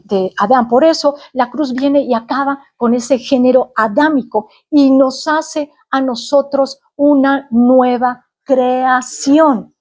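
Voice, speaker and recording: A woman's voice: high-pitched, a little mellow. A woman from Mexico City with a Mexican Spanish accent, preaching a sermon in an earnest teaching manner and often checking with her listeners. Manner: earnest teaching, asks listeners questions, frequent fillers. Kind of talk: sermon to a congregation.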